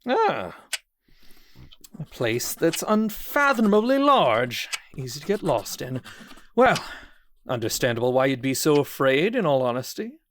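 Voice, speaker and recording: A man's voice: on the high side, sing-song, measured pace, fairly bright tone, a little hiss or hum. A man playing a condescending, falsely cheerful psychiatrist in a horror audio drama, with a smug, mock-polite manner. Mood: smug, prying. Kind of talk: theatrical audio drama acting.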